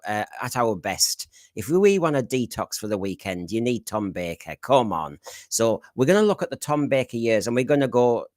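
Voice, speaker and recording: A man's voice: mid-range voice, no room sound. A man hosting a live fan podcast stream, chatty and jokey, rambling through asides and housekeeping. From Britain. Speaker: podcast host. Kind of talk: casual live stream chat. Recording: dry close sound.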